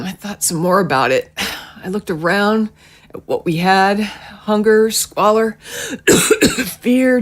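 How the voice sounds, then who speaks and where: A woman playing a gravely ill, gentle mother in an audio drama, talking weakly between sighs and coughs. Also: mid-range voice. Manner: weak, breathy sighs and coughing fits.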